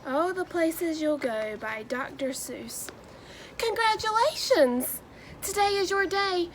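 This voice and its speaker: A young woman with a high voice does a children's read-aloud. Her pace is very unhurried and her delivery has a sing-song storybook lilt. There is faint noise behind her. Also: expressive. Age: 20s. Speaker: new education graduate reading a children's book.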